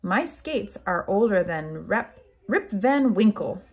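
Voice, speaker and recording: A big bad storybook wolf who is friendly underneath, played by a woman. He talks emphatically, with a lively, swooping pitch. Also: slow, breathy edge, exaggerated voice. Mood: matter-of-fact. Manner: emphatic, calling out.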